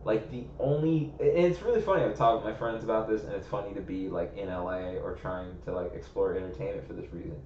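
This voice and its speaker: A man's voice: on the low side, fast talker, hardly any pauses, a little mellow, a little hiss or hum. A young American man co-hosting a podcast, rambling and thinking aloud in casual chat, leaning hard on "like.". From United States. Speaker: podcast co-host. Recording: roomy, slightly muffled recording.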